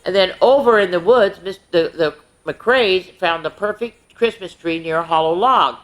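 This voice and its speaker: A woman hosting story time, reading aloud to young children in an expressive way with little asides. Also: fairly low pitch, moderate pace, low-quality recording.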